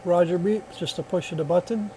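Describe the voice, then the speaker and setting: A man's voice: high-pitched, fairly quick, warm tone, slight background noise, low-quality recording. A man giving a casual hands-on product demonstration, explaining step by step in a plainspoken way. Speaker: product reviewer.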